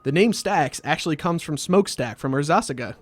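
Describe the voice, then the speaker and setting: A man's voice: on the high side, lively intonation, a little fast, very noisy. A man hosting a scripted comedic explainer with a wry, sardonic delivery, recorded as a close voice-over. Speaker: host of a card game video series.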